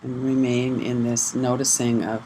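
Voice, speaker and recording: A person leading a guided mindfulness meditation in measured short phrases with pauses between them. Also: medium pitch, flat delivery, mellow, slight background noise, rough recording. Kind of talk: guided mindfulness meditation, slow gentle instruction.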